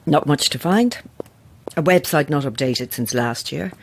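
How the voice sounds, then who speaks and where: An actress playing a sceptical, guarded horror novelist in a naturalistic audio drama, speaking in a dry, curt way. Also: female voice, low voice, expressive, a little slow, slight background noise.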